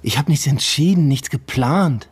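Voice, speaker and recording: An actor playing an evasive, tormented husband in a radio drama, speaking in a halting, pained and defensive way. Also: male voice, a little high, lively intonation, husky. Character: evasive, tormented husband confessing a double life. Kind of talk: naturalistic radio drama acting.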